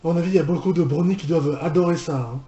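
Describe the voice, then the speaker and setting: A man with a fairly high, mellow voice hosts a casual reaction commentary. He rambles and circles back on his words, recorded in an echoey room. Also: very fast, highs cut off, soft background music. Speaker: reaction video host and fan dubber.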